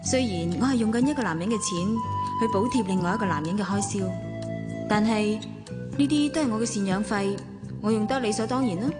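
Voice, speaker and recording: A woman's voice: mid-range voice, brisk, over loud music, natural voice. A woman acting a well-off, spoiled woman in love in a Cantonese radio drama, with a Hong Kong accent, teasing and coquettish or reflective as she narrates. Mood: contented, reflective.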